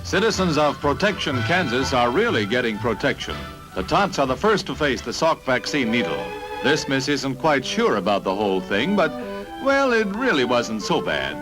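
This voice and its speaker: Brief: male newsreel announcer, animated pitch, archival film sound with loud music underneath. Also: normal speed, low-quality recording. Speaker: newsreel narrator. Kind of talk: vintage newsreel narration.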